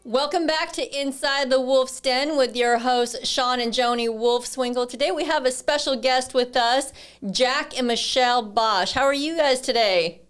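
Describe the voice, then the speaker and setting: Woman podcast host reading an intro bio, smooth clear voice, bit high, trips on words here and there. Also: a little slow, a bit bright, soft background music. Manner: reads from a script with small slips.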